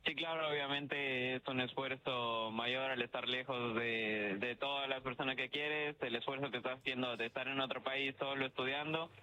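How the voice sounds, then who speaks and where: A man's voice: mid-range voice, steady tone, normal speed, few pauses, bright, forceful tone, low-quality recording. A young man with an Ecuadorian accent answering questions as a student in a spontaneous street interview. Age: young adult. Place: outside a university building. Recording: faint noise on street interview.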